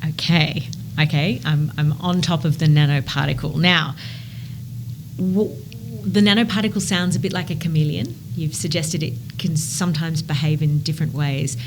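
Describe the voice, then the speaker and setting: Curious woman host, lowish voice. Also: fairly even intonation, moderate pace, background noise. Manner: curious, friendly follow-up questions. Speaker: podcast host. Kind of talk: conversational interviewing.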